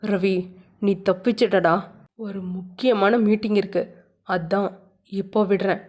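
A woman voicing Veera, a furious, menacing young landlord man who shouts threats, with a mellow voice. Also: medium pitch, steady tone, a little slow, lightly acted.

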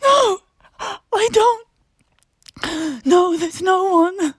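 Give me a terrified young wife sobbing down the phone, high and strained, in choppy bursts. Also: female voice, drawn-out words, dry recording, clean studio sound, heavily acted. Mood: panicked sobbing. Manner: gasping, sobbing, broken phrases. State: crying. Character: panicked sobbing young wife in her thirties.

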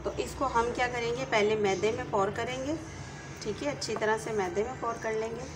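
A woman with a Pakistani Urdu accent hosting a casual home cooking tutorial, talking chattily and tagging each step with a quick check-in. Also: a little high, fairly even intonation, noisy background. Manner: chatty, repeats "theek hai" after each step. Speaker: home cooking channel host.

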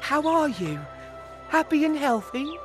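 A smug game villain as a bounty hunter, speaks in a high male voice that swings widely in pitch. He is mocking and theatrical, full of threat, with loud music playing behind him. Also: sing-song, a little slow, heavily acted. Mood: mocking, gloating. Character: smug, menacing bounty hunter confronting his quarry. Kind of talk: video game character acting.

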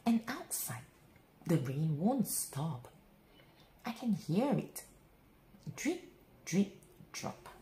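A woman reads a bedtime picture book to young children in a slow, deliberate way. Her voice sits fairly low and swoops widely with dramatic, stretched-out words. The room adds a clear echo around her. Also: very animated, mellow. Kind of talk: expressive children's bedtime story read-aloud. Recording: roomy echo, faint background noise.

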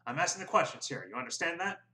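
Booming, bullying heavyset official, voiced by a male reader; high, bright bark. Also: animated, fast talker. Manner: booming, barking questions. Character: loud bullying heavyset small-town official, man. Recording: roomy echo.

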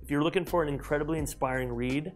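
A man's voice: on the high side, fast. A man in his thirties hosting an interview, talking energetically with many fillers and restarts, on a lapel mic in a glass-walled office. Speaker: host. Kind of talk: enthusiastic interview intro.

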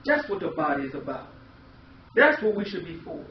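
A man's voice: on the high side, very animated, fairly quick. Man, American accent, middle-aged, emphatic sermon, rhetorical questions, echoey and slightly dull distant recording. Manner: emphatic, repeating phrases and asking rhetorical questions. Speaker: preacher. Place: small indoor room. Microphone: distant camera microphone. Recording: echoey, muffled, distant camera audio with hiss.

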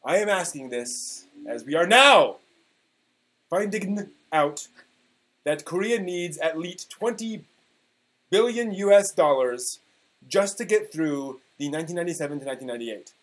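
This is a man in his forties with a voice a little higher than usual. He reads an online post aloud in a theatrical, mock-dramatic style. His delivery is emphatic and sometimes halting. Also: expressive, fairly bright tone. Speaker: performer reading old forum posts. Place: small room at home, indoors.

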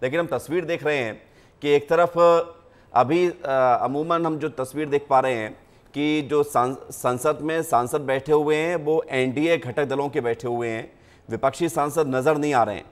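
A male news anchor speaking in measured sentences with frequent hesitation pauses. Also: fairly high pitch, fairly even intonation.

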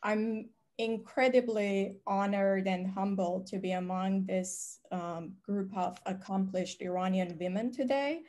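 Middle-aged woman from Iran, earnest measured speaker, video call panelist. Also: mid-range voice, steady tone, a little mellow. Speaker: panelist, professor. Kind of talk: personal introduction on a panel. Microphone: video call webcam.

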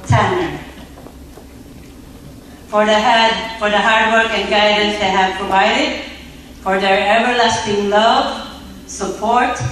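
A middle-aged woman, mother of the bride, slowly reading a welcome speech at a wedding reception, with small restarts. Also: mid-range voice, fairly bright tone, heavy reverb, recorded from a distance, slight background noise, rough recording. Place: large reception hall. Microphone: filmed from across the room on a phone.

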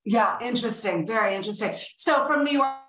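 A woman's voice: a little high, a little fast, a bit bright. A woman hosting an online interview over a video call, enthusiastic with gushing interjections. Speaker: talk show host. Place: room with large windows at home. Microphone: video call webcam at a distance. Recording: echoey room, distant mic, faint noise.

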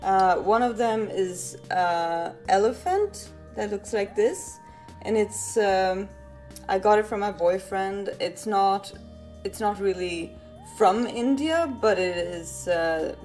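Soft-spoken young woman. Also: mid-range voice. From Sweden. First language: Swedish. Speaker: vlogger. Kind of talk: casual talk to camera. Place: living room indoors.

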